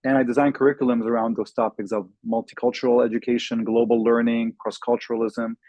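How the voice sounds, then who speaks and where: A man's voice: mid-range voice, level tone, quick pace, a little mellow, dry recording. Man from Lebanon, fluent and listing. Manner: fluent, listing, with filler ums. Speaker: panelist. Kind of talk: self-introduction on a webinar panel. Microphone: video call.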